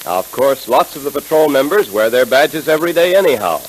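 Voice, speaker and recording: A man announcing in 1930s radio style, making an emphatic, enthusiastic scripted pitch to children. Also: sing-song, a little fast, low-quality recording. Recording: hissy 1930s radio recording.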